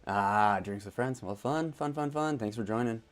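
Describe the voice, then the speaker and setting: A man's voice: mid-range voice, moderate pace. A man hosting a casual gaming livestream, chatting loosely and reading out viewer messages. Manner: relaxed, chatty, reads out chat messages. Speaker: streamer host.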